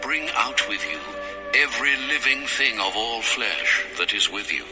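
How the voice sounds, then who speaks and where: An actor playing God as a solemn, commanding ancient deity in a dramatized scripture reading, speaking in measured phrases. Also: male voice, medium pitch, lively intonation, bright, forceful tone, rough recording. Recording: music under the voice.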